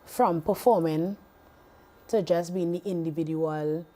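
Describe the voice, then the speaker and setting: A Trinidadian woman, a music artist, chatting in a TV interview in a relaxed way, often repeating her phrases. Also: on the low side, unhurried. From Trinidad and Tobago. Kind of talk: casual interview chat. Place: TV studio.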